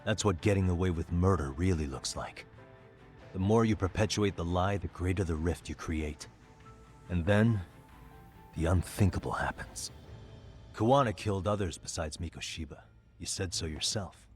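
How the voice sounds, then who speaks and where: An actor playing a determined male detective in a game cutscene, pressing a prisoner with steady, probing questions. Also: sits low, normal speed, faint music behind, lightly acted. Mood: stern. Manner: pressing, steady interrogating delivery. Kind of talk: game voice acting, dub.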